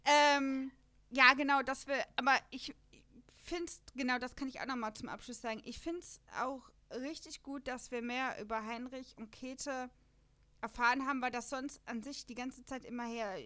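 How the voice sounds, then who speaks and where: A woman with a somewhat high voice, co-hosting a relaxed podcast. She talks in a chatty, rambling way and laughs easily between thoughts. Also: moderate pace. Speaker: podcast co-host. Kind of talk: casual chat.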